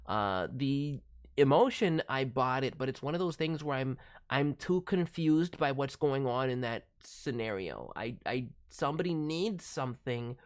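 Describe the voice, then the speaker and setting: A man's voice: medium pitch, a little mellow. A man giving casual, thoughtful critique as a voice director, hedging as he talks. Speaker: voice director reviewing demo reels.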